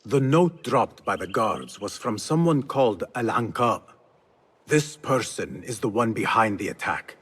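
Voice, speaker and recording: An actor playing a determined, serious young assassin in a video game, speaking in an earnest, terse way. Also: male voice, on the low side, animated, unhurried, a bit bright, breathy edge, full character voice. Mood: serious, focused. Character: determined, serious young male assassin, former street thief.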